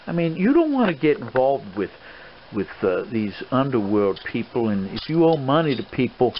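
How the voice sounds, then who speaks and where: A man's voice: medium pitch, sing-song, moderate pace, close to an everyday voice. A man playing an old Colonel in an improvised ASMR role play, giving stern, fatherly admonishment in a rambling, hesitant way. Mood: measured warning. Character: old Colonel lecturing his friend's gambling son, paternal, stern. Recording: dull lo-fi audio with clear background noise.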